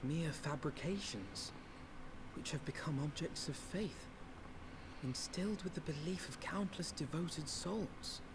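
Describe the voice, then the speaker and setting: An actor playing an articulate, skeptical young scholar in a fantasy video game, with formal, precise speech. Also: male voice, fairly high pitch, measured pace, vocal fry, noisy background. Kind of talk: dramatic video game voice acting.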